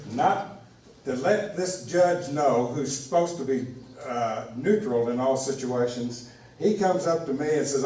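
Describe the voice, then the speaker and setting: A man's voice: medium pitch, a little mellow, a little hiss or hum. Indignant man in an echoey hall. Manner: halting, many fillers and restarts, indignant. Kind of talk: public meeting remarks. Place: large meeting room. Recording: echoey meeting hall from far off.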